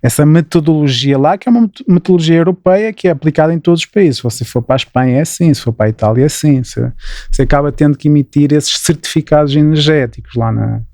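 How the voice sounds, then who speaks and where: Middle-aged man with a Porto accent, mellow and dry, patient explainer. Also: a little high, quick pace, no room sound. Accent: Porto Portuguese. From Portugal. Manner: explanatory, frequent "né" tags and restarts. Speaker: guest engineer. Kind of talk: interview answers.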